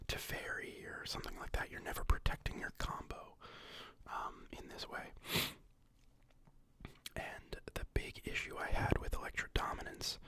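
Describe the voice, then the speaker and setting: A whispered ASMR walkthrough by a speaker who is getting over an illness and sniffles now and then. The talk rambles and halts, with lots of fillers and restarts. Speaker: card game deck explainer.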